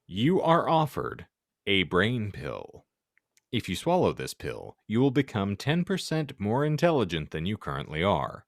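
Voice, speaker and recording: A man's voice: lowish voice, huge pitch swings, unhurried, vocal fry, dry recording, clean studio sound. A man hosting a casual podcast with a theatrical, mock-solemn turn of phrase. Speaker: podcast host. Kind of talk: casual banter and hypothetical read-out.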